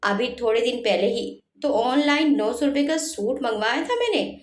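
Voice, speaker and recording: A woman's voice: fairly high pitch, lively intonation, normal speed, close to an everyday voice. A woman voicing a lazy, sly young daughter-in-law in a family story, with a smug, wheedling manner. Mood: smug, gloating. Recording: roomy echo on the voice.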